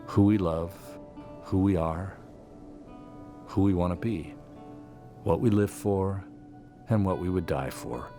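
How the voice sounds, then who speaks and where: A man speaking as interviewer and narrator, reflective and probing, with measured, deliberate delivery. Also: deep voice, a little mellow.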